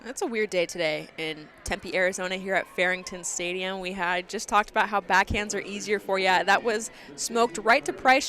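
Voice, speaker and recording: A woman with an American accent giving live analysis as a color commentator on a softball broadcast. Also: mid-range voice.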